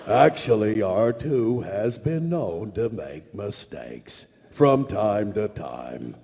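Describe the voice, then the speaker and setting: A creaky, wildly swooping robot butler, prim and anxious in a live parody. The words come slowly and politely, with long hall reverb. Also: male voice, on the low side, very animated, dark tone, exaggerated voice. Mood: fretful. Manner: prim, fretful, exaggerated. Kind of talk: live comedic script reading. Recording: echoey convention hall.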